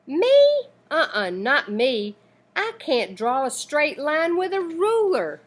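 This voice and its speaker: A woman's voice: sits high, wildly swooping pitch, slow pace, exaggerated voice. A woman voicing a shy, self-doubting little boy in a storybook, bashful and childlike.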